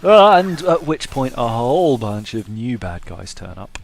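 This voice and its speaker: A man's voice: medium pitch, sing-song, measured pace, vocal fry. A man giving casual, self-deprecating playthrough commentary with a wry sense of humour. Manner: self-deprecating, wryly humorous. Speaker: gameplay commentator. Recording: faint background noise.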